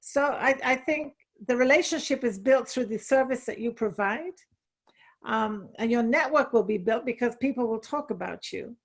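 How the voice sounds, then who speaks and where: A woman's voice: on the high side, moderate pace. A woman speaking earnestly on a video call, giving advice in an online group discussion and laughing lightly between points. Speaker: panelist.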